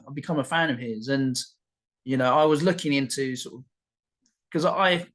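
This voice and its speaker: Rambling podcast host. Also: male voice, a little high, fairly bright tone. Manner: rambling, repeats words and restarts, jokey asides. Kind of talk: casual conversational chat.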